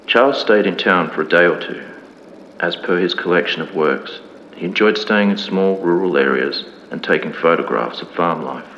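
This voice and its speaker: Solemn male archival narrator for analog horror: low voice, formal and grave, dull noisy recording. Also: moderate pace, a bit bright. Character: solemn male 1950s archival documentary narrator reporting a mystery. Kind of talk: scripted mock-documentary narration for analog horror. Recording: dull lo-fi, long reverb, clear background noise.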